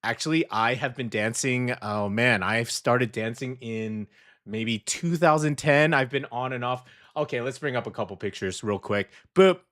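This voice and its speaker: A man's voice: mid-range voice, moderate pace, a bit bright. A middle-aged man hosting a casual radio show from a studio, upbeat and jokey, rambling loosely as he chats.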